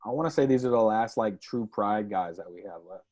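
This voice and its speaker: A man's voice: fairly low pitch, brisk, a little mellow. A man co-hosting a casual sports chat, slangy and easygoing. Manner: casual, slangy, says "bro" and "like". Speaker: podcast co-host. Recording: dull lo-fi mic.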